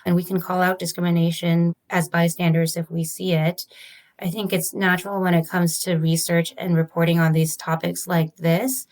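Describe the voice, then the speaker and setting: A woman's voice: fairly low pitch, level tone, moderate pace, darkish tone. A woman physician and researcher, interviewed as a guest, explaining her study in a careful, methodical and formal way. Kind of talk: measured academic explanation.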